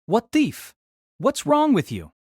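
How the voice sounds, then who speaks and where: A man's voice: very high-pitched, wildly swooping pitch, takes it slowly, darkish tone, husky, dry recording, studio quality, heavily acted. Man acting a panicky, stammering young mage. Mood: panicked, scolding. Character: flustered, bluffing young male mage, easily frightened.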